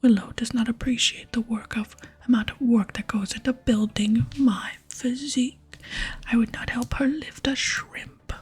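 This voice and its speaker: A woman's voice: fairly high pitch, fairly even intonation, moderate pace, breathy edge, voice pushed up. A woman voicing a vain, proud muscleman barbarian with a boastful, emphatic manner in an ASMR game reading. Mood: proud, offended. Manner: boastful, emphatic, addresses others grandly.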